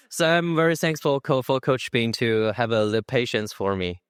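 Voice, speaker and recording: Teen boy, clear voice, dry close sound. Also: mid-range voice, fairly even intonation, dry recording. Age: teens. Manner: slow, searching for words, laughs at himself. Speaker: international student. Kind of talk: student panel answers.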